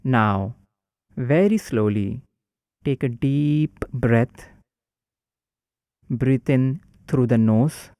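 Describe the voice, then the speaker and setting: Slow, mellow-voiced male meditation guide. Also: mid-range voice, no room sound. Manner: slow, calm, instructive.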